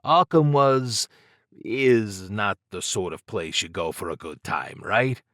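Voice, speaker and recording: A shifty male thief from an audiobook tale, acted out by a male narrator, low in pitch and recorded dry and close. He is evasive and flippant, covering his nerves with slangy bravado. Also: lively intonation, slow pace, fairly bright tone, dry recording, exaggerated voice. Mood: evasive, defensive.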